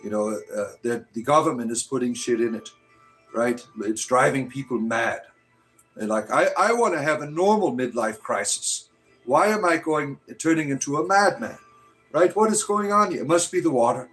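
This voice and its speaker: Casual male stream host in his fifties, rambles and jokes, soft music in the background. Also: mid-range voice, animated, normal speed, poor audio. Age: 50s. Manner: rambling, joking, full of "uh" and "right?". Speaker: livestream host. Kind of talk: casual live-chat talk answering viewers.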